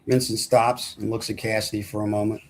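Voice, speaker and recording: A man's voice: on the low side, level tone, fairly quick. A man narrating screenplay action lines at a film table read. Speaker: script narrator.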